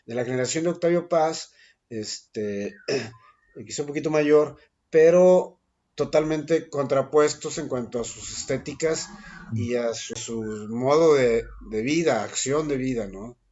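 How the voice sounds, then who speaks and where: A man, a guest poet answering interview questions thoughtfully, pausing often with fillers and restarting his sentences. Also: medium pitch, dark tone. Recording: dull lo-fi recording.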